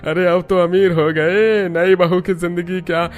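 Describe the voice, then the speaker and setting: A man voicing a mocking village farmer in a cartoon, loud, jeering and drawing out words. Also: high voice, little pitch movement, unhurried, soft background music, pitched above the performer's own voice.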